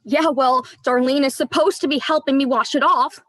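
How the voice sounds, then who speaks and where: A woman's voice: high-pitched, steady tone, moderate pace, fairly bright tone, no echo, studio quality. A woman playing a frightened, pleading young woman, a victim in a horror play reading. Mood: uneasy. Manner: pleading, tearful outbursts. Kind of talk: dramatic livestream script reading.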